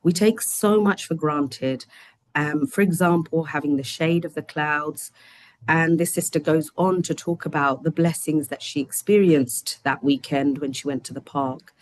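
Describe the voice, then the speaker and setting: Low-voiced woman hosting an online lesson. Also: fairly even intonation, a little slow, no room sound, rough recording. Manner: gentle, chatty, many fillers. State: slightly croaky voice. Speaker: host. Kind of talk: online study session, translating and explaining. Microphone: video call.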